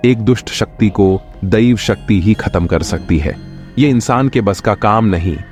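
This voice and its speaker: Man playing a low, solemn temple priest. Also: expressive, unhurried, darkish tone, faint music behind. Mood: reassuring. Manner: solemn, reassuring. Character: calm, kindly older male temple priest. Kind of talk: animated horror story voice acting.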